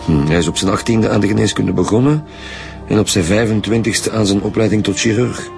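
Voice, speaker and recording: Brusque detective chief, low voice, commanding, with background music. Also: male voice, brisk, gravelly. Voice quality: curt, commanding. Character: brusque Flemish police chief inspector. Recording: radio play with background music.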